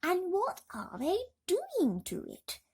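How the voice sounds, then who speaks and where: A woman voicing an excitable, wide-eyed female ladybird in a storybook, with breathy, urgent exclamations. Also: extremely high pitch, slow pace, pitched above the performer's own voice, heavily acted. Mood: anxious wonder.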